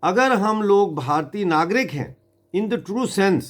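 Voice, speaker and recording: A man from Mirpur in Kashmir gives a political address as an activist, speaking gravely and deliberately with emphatic pauses. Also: high-pitched, expressive.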